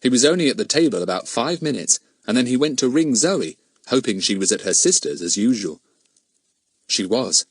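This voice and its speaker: A man reading a children's mystery audiobook in an expressive storytelling manner. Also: medium pitch, normal speed, slightly rough, no echo. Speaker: audiobook narrator.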